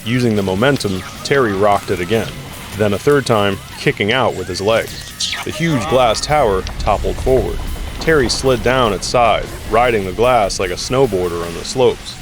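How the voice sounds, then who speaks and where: A man reading dramatic scripted narration for an action audio drama. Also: lowish voice.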